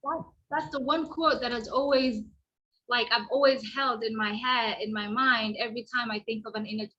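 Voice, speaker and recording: Bright, husky earnest woman guest. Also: on the high side, fairly even intonation, moderate pace, muffled recording. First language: Somali. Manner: earnest, reflective, many restarts. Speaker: podcast guest. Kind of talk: casual podcast conversation. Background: washing machine noise.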